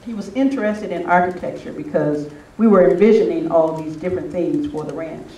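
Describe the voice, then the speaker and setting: A man's voice: a little high, a little fast, dark tone. An older man giving an informal, anecdotal talk to a gathering, reminiscing in a conversational way. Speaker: speaker at a spiritual retreat. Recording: roomy, distant mic with faint noise.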